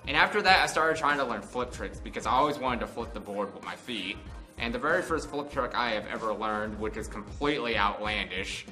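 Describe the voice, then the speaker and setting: A man's voice: fairly low pitch, a little fast, over loud music. An American man in his twenties telling a casual, unscripted story in a rambling, conversational way. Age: 20s. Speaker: vlog host.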